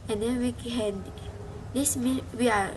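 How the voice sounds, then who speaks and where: Halting young Malaysian woman, flat voice. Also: on the high side. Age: young adult. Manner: halting, frequent restarts and fillers. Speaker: university student. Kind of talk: spoken class reflection in a second language. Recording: noisy background hiss.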